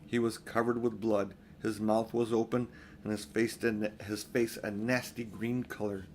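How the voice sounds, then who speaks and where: An older man reads a children's story aloud in an expressive, unhurried, homely way, with informal asides. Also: on the low side, slight background noise. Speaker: audiobook reader.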